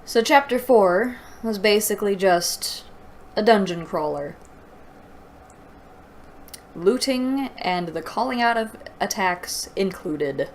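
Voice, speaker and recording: Slow-paced woman reviewer, sarcastic and exasperated. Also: medium pitch, expressive, a little hiss or hum. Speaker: host of a book review show. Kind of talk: mocking read-aloud book review with commentary.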